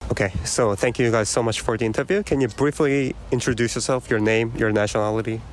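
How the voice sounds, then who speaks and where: A man running a street interview, easygoing and quick with affirmations as he asks questions. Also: mid-range voice, soft background music. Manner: easygoing, frequent "right, right" affirmations. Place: outdoors on a city street.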